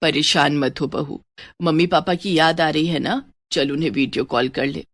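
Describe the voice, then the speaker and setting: An older mother-in-law from a family moral story, acted by a woman, pitched low for a woman. She is caring and bossy, full of motherly questions, with a dry, close sound. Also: steady tone, normal speed, no echo.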